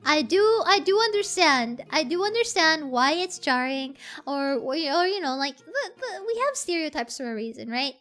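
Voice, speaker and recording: A soft-voiced woman streamer chats with her viewers in a very high, sharp, bright voice. She giggles and repeats herself as she reads their messages. Faint music plays behind her. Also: sing-song, moderate pace, hardly any pauses. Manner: giggly, chatty, stumbles and repeats words. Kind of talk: casual chat, reading viewer messages.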